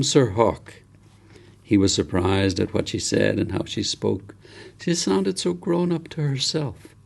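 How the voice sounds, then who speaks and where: A man narrates a story in a low voice with a heavy creak to it. His delivery is measured and gentle, that of an author reading his own novel aloud.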